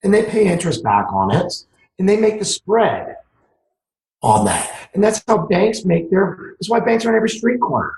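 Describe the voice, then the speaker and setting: A man with a raspy, somewhat hoarse voice speaks with lively swings in pitch. He sits a little high for a man and sounds animated. The room is echoey, with faint noise and clicks behind him. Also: normal speed. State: hoarse from yelling. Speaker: guest, financial planner. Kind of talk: casual interview chat.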